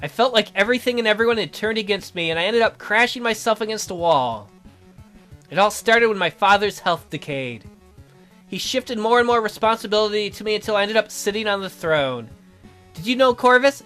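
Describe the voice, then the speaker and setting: A man's voice: high voice, expressive, fairly quick, bright, forceful tone, soft background music. A man voicing a weary young noblewoman who speaks in an earnest, confessional way. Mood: sorrowful, intense. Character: weary, sorrowful young noblewoman, regretful ruler.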